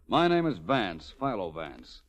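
A man's voice: fairly low pitch, very animated, measured pace, noisy background. A man playing a suave, self-assured private detective, urbane and wry, in 1940s radio drama style. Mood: wry, confident. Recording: muffled 1940s radio recording.

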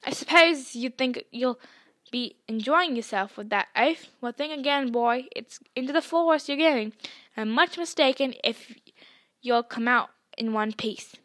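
A woman's voice: high voice, measured pace, a bit bright. A woman voicing a nasty, gleeful old male caretaker who talks coldly and sneers. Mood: sneering. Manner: sneering, cold.

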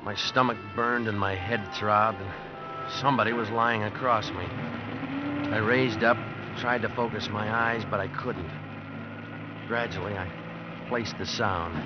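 A man's voice: fairly low pitch, steady tone, unhurried, close to an everyday voice. An actor playing a hard-luck American drifter who tells his story in a terse, brooding 1940s noir radio style. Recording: dull vintage radio recording. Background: dramatic music.

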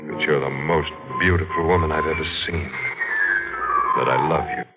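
An eerie, cadaverous admirer in an old radio mystery. His voice is low, slow and courteous, with calm obsession. The sound is dull and vintage, with loud music behind it. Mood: polite, insistent. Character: eerie, cadaverous man, calmly obsessed with a married woman. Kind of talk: 1940s radio mystery acting.

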